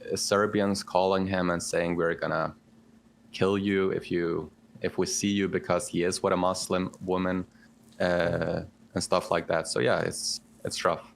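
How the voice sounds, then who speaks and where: Deep gravelly Bosnian-accented man. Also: a little slow, a little hiss or hum. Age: 30s. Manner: relaxed, rambling with many fillers and restarts. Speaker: guest content creator. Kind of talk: casual podcast interview.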